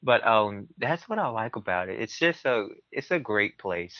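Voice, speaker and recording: A man's voice: fairly low pitch, big pitch swings, normal speed, no room sound. A man talking casually and earnestly as a podcast guest, effusive and heartfelt. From southern United States. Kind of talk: casual heartfelt interview talk. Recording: muffled, band-limited audio.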